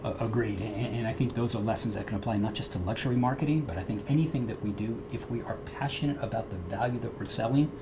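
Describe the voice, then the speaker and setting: Fast-talking earnest man, noisy recording. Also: fairly low pitch. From United States. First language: Portuguese. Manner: earnest, repeats words when starting. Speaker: guest. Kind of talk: interview conversation.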